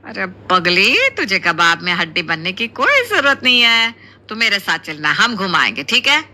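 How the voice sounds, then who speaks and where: A woman voicing a warm, doting middle-aged Indian mother-in-law who chides playfully and exclaims affectionately in a Hindi cartoon family story. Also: medium pitch, big pitch swings, moderate pace. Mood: playful scolding.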